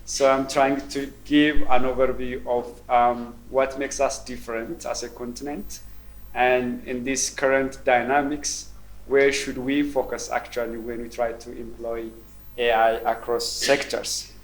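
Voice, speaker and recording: Man in his forties, mid-pitched, measured keynote delivery at a university roundtable. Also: little pitch movement, slight background noise, rough recording. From Ethiopia. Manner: measured, thoughtful, frequent ums and repeated words. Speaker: keynote speaker. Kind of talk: conference presentation. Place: university roundtable event room.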